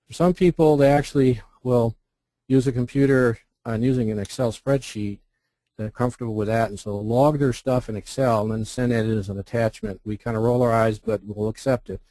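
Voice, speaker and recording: A man's voice: medium pitch, fairly even intonation, fairly quick, dark tone, dry recording. An American man giving an informal instructional presentation, explaining things by posing questions and then answering them. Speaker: presenter, contest representative.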